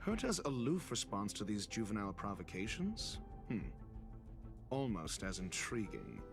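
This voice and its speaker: An actor playing an arrogant, condescending scholar in a video game, with pompous, florid delivery. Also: male voice, lowish voice, wildly swooping pitch, moderate pace, vocal fry, music in the background, exaggerated voice. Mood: intrigued. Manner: pompous, drawling, florid wording. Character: arrogant, theatrical male scholar, condescending and sardonic.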